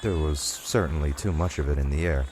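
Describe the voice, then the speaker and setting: A man with an extremely low voice narrates a storybook from a script. There is clear noise in the background behind his reading. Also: fairly quick.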